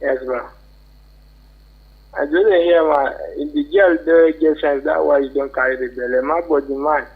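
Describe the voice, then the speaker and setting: A Nigerian man phones in on a poor, narrow mobile line. His voice is husky and a little high for a man. He talks bluntly in Pidgin-tinged English. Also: expressive, moderate pace. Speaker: phone-in caller. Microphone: telephone call.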